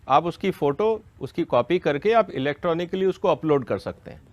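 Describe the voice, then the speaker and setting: A man's voice: fairly high pitch, expressive, moderate pace, no echo. A man giving an educational question-and-answer explanation, didactic and step by step, often checking that listeners follow. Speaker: expert explaining a law to farmers.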